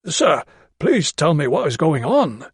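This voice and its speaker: A man's voice: on the high side, big pitch swings, measured pace, breathy edge, no room sound, clean studio sound, heavily acted. A man reading an audiobook, voicing an anxious household servant who protests urgently. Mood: alarmed.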